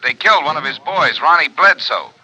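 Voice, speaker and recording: Terse worried insurance boss from old radio, really bright piercing voice, a bit high. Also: male voice, lively intonation, a little fast, no echo, heavily acted, rough recording. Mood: businesslike, urgent. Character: businesslike, worried insurance company man phoning his investigator. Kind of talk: 1950s radio drama acting.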